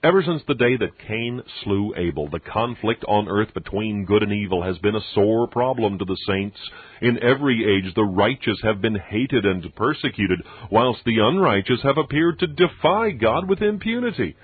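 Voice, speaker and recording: Low male voice reading scripture stuff like an audiobook, solemn n preachy, tinny narrow sound, super dry. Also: expressive, normal speed, breathy edge, dry recording. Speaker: audiobook reader. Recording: dull muffled radio-like sound, very dry.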